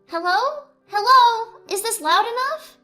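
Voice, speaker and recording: A woman's voice: a little slow, heavily acted. A woman voicing a bold little girl in a storybook drama, with faint music behind. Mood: cheeky. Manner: high, bright, piping.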